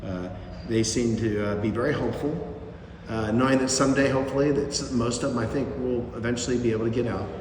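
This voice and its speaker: A man's voice: mid-range voice, fairly even intonation, quick pace, hardly any pauses, darkish tone, far from the mic, slight background noise. Rambling man in an echoey hall. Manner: rambling with fillers and repeats, storytelling. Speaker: mission volunteer. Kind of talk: casual group recap.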